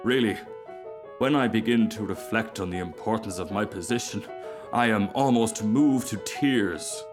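A man acts out a vain male firework rocket from a storybook. He declaims with haughty emphasis and takes offence easily, pitched a little above the narration and pitying himself grandly. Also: a little slow, over music, lightly acted. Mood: indignant, self-important. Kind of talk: storybook character voice.

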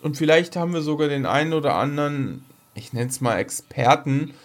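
A man's voice: fairly high pitch, brisk. A man co-hosting a podcast, chatting casually and colloquially and rambling along. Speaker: podcast co-host. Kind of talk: casual chat about science fiction.

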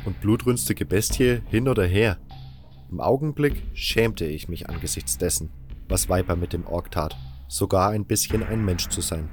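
A man's voice: low voice, moderate pace, faint music behind. A man reading scripted first-person fantasy narration as an audiobook narrator.

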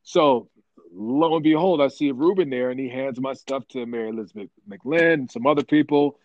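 A man being interviewed about his work as a voice actor, telling stories in a rambling, animated way with lots of restarts. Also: mid-range voice, moderate pace, no room sound, lo-fi sound. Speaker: voice actor guest. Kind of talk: casual interview chat.

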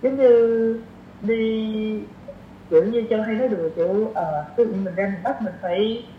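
A woman's voice: mid-range voice, fairly even intonation, normal speed. Southern Vietnamese woman chatting, echoey room. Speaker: podcast guest. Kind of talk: casual conversational interview. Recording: echoey room, muffled, faint noise.

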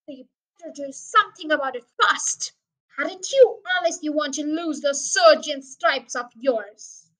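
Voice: very high-pitched, wildly swooping pitch, fairly quick, few pauses, sharp tone, recorded from a distance, pitched above the performer's own voice, exaggerated voice, poor audio. A child reader voicing a furious, pompous wealthy man who sputters with indignation in a storybook reading.

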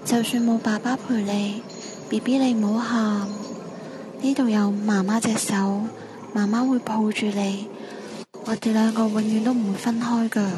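A woman's voice: on the high side, fairly even intonation, normal speed, noisy background, close to an everyday voice. A woman with a Hong Kong Cantonese accent playing a clingy, possessive schoolgirl ghost in a radio drama. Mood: eerily soothing.

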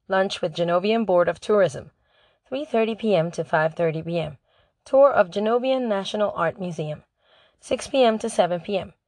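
A woman's voice: mid-range voice, a little mellow, no room sound, natural voice. A woman reading a storybook diary aloud as a sarcastic, put-upon teenage princess. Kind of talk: amateur audiobook reading of a first-person diary.

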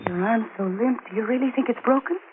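An actress with an American accent playing an anxious, protective housewife in an old-time radio thriller, earnest and fretful in manner. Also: female voice, a little high, quick pace, natural voice. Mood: concerned, flustered. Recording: muffled, noisy old radio recording.

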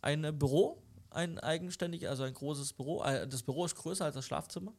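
A man's voice: a little high, fairly quick, darkish tone. A young man co-hosting a podcast from his living room, talking casually with a cold, hesitant and full of fillers. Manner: hesitant, many fillers and restarts. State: nasal with a cold. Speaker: podcast co-host. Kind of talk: casual chat.

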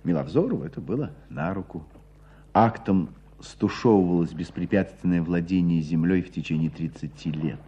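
An actor playing a wry storyteller narrating a radio drama in a husky voice. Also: male voice, sits low, moderate pace, mellow. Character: wry storyteller recounting a provincial dispute. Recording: dull radio drama recording.

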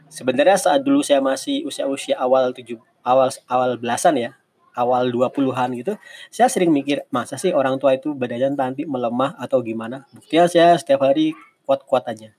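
Male mentor, 40s, average pitch, informal and explanatory, answers questions with digressions. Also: a little fast, a little mellow. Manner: conversational, explanatory, with digressions and self-corrections. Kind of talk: casual advice talk in a live session.

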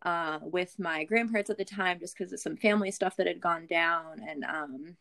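An American woman telling her personal story as a guest in a candid, reflective, halting way, often restarting her phrases. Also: on the low side, vocal fry, a bit bright. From Boston area, Massachusetts, USA. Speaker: podcast guest, business owner.

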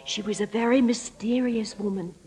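A woman's voice: fairly high pitch, steady tone, normal speed, darkish tone, faint music behind, lightly acted, low-quality recording. An actress playing a garrulous, nosy old landlady, folksy and easily indignant, in 1940s radio style. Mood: chatty, dramatic. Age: older. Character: chatty, nosy, lonesome old landlady, stubborn and flatterable.

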